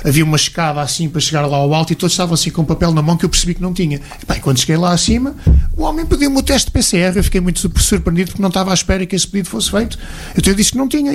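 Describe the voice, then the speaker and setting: A man with a European Portuguese accent telling travel anecdotes in detail as an interview guest. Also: high voice. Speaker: interview guest, martial arts instructor. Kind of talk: conversational travel storytelling.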